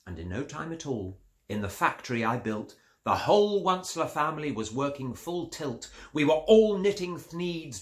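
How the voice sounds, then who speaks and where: A man narrating a rhyming storybook in a theatrical, expressive way. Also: medium pitch. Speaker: storybook reader. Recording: echoey room.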